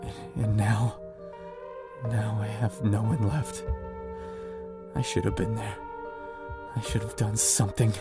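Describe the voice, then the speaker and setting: Actor as grim low-voiced apocalypse survivor over music. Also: male voice, little pitch movement, slow pace, pauses often. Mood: grieving, angry. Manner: grim, profane, anguished. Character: panicked, grieving young man, survivor of a zombie apocalypse. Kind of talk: horror audio drama narration and acting.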